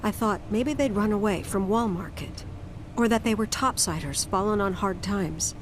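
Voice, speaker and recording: A middle-aged mother voiced by an actress with a medium-pitched voice, protective and quietly sorrowful. She talks in a measured, reflective way, as in a game drama. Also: slight background noise, natural voice. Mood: wistful. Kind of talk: dramatic storytelling in a game cutscene.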